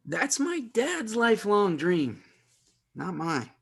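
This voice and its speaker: An anxious, loyal teenage boy played by a man in a sitcom read. He speaks slowly and earnestly in a fairly high voice that rises and falls pleadingly. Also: very animated, gravelly, breathy edge, exaggerated voice. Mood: insistent. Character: anxious, loyal teenage son and best friend. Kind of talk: comedy script table read.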